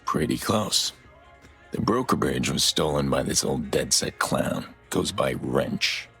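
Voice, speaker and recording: An actor playing a gruff middle-aged vigilante hacker in a video game, terse and deadpan, with a gravelly voice. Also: male voice, takes it slowly, soft background music, exaggerated voice. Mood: weary, serious. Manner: terse, low-key, deadpan. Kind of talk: video game dramatic acting.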